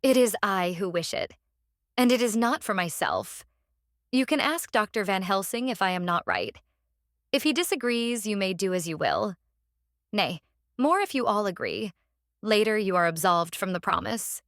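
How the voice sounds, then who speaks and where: Lady doing a devout young wife, earnest n intense, mid pitched, really dry audiobook recording. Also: animated, normal speed, a bit bright, no room sound. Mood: pleading, intense. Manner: solemn, intense, imploring. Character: devout, resolute young wife, tender and pleading. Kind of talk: dramatised audiobook acting.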